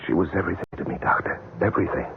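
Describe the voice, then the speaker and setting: A man playing a smooth, scheming rich widower with a suave, theatrical, insincerely solemn manner in an old-time radio mystery. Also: racing, lightly acted. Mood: feigned grief. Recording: muffled narrowband radio drama, music behind.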